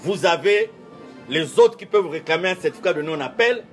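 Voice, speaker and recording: A high-voiced man speaking French as a second language, delivering a formal interview statement as a church official. He talks in a measured, oratorical way, repeating phrases for emphasis. Faint music plays behind him. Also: expressive. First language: not French.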